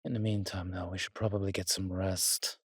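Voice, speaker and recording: A man's voice: sits low, flat delivery, a little fast, slightly rough, no echo, studio quality. A man playing a suave, weary vampire with courtly, fond phrasing in an intimate roleplay audio drama. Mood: weary, tender. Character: weary, suave male vampire, protective and darkly charming.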